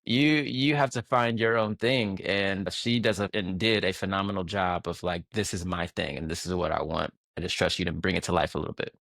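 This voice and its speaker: Male guest with a very low voice, easygoing and chuckly, dry close mic. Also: fairly quick, vocal fry, few pauses, dry recording. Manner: relaxed, laughs often, many fillers and restarts. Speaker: guest music producer. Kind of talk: casual interview chat.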